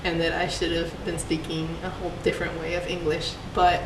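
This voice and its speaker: A woman's voice: lowish voice, completely flat delivery, fairly quick. A young woman from Puerto Rico, a student telling personal stories for a class project in a chatty way, with many fillers. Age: young adult. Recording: echoey, distant mic, background noise.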